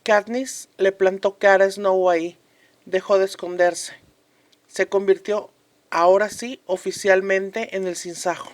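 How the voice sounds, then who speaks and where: A woman's voice: medium pitch, steady tone, unhurried, lightly acted. A woman playing an earnest commentator from a fictional republic in a radio drama, speaking solemnly and emphatically in scripted interview style. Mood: earnest, reflective.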